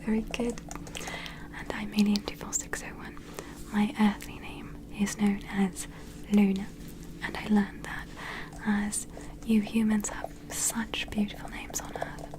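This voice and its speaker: A woman playing a gentle, attentive alien medical examiner in an ASMR roleplay, whispering slowly and soothingly in a breathy, reassuring way. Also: mid-range voice, level tone, close to an everyday voice, poor audio. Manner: slow, soothing, reassuring, procedural.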